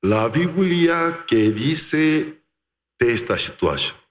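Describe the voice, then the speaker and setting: Animated pastor in echoing hall. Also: male voice, fairly high pitch. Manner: animated, acts out quotes, slows for emphasis. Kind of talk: sermon. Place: large reverberant church hall. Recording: echoey church hall.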